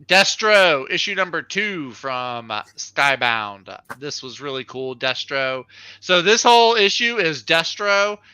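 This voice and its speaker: A man with a very bright, fairly high voice gives an informal, off-the-cuff review. He talks with open enthusiasm in a casual chat style, and the sound is close and dry. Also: big pitch swings, slow, clean tone, no room sound. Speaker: comic book reviewer on a livestream show.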